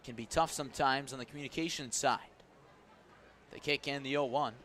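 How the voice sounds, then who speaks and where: A man with a mid-pitched voice calls a baseball game as the radio play-by-play announcer. He moves between clipped pitch calls and conversational asides. Some outdoor stadium noise sits behind him. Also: brisk, a bit bright. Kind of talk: live baseball radio commentary. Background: outdoor ballpark ambience.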